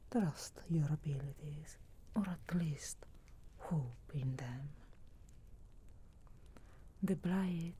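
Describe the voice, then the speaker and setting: A woman voicing a sarcastic, guardedly caring witch of the wilds in a slow, breathy whisper for an ASMR fantasy roleplay. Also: low-pitched, warm tone, full character voice. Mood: solemn, caring. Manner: slow, measured, archly formal phrasing.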